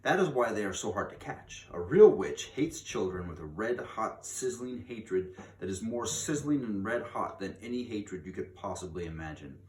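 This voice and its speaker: Male storyteller for children's read-aloud, lowish voice, big swings in pitch, theatrical emphasis; recorded at a distance from the mic. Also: expressive. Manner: theatrical, emphatic, drawn-out key words. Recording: distant mic, echoey room, background noise.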